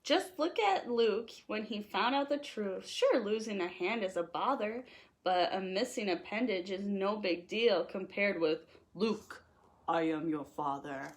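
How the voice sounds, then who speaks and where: A woman reading a children's picture book aloud in an animated, playful way, leaning on the rhymes. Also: mid-range voice, a little slow. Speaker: storytime host reading aloud.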